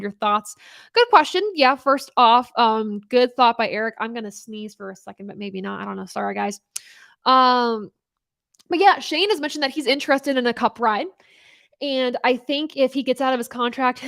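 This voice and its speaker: A woman's voice: a little high, fairly bright tone, dry recording, studio quality. A young woman hosting a live racing talk stream, chatting casually and answering viewer questions with an upbeat, laughing manner. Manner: chatty, upbeat, laughs often, many fillers and restarts.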